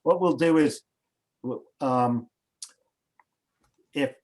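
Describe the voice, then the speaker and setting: A man's voice: medium pitch, drawn-out words, a little mellow. Man coaching over a video call. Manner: hesitant, restarts, coaching tone. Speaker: host. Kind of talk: casual pre-show video call chat.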